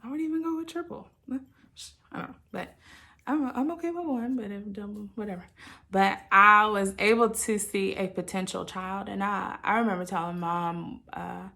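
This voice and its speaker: A woman sharing a personal story with listeners in a candid, halting, reflective way, often qualifying what she says and voicing her own thoughts expressively. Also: medium pitch, steady tone, gravelly, sharp tone. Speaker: host of a personal channel.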